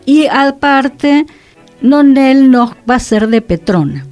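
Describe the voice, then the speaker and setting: A woman's voice: high-pitched, lively intonation, slow, a little mellow. A woman with a Rioplatense Argentine accent hosting a casual radio chat in a studio. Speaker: radio host. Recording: music playing behind the voice. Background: music.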